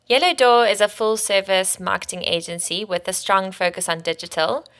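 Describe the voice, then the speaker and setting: A woman's voice: mid-range voice, normal speed, fairly bright tone, dry recording, clean studio sound, soft background music. A South African woman in her thirties co-hosting a casual podcast, fluent and chatty, with frequent ums and small restarts. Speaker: podcast co-host, agency co-founder.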